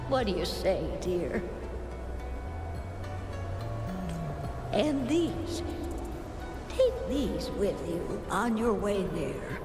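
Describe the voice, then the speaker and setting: A kindly, motherly older woman from a video game. She talks slowly and tenderly, with lots of melodic movement. Her voice echoes over music. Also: mid-range voice, sing-song. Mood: encouraging. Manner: tender, coaxing. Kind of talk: video game story acting. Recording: echoey reverb with music behind.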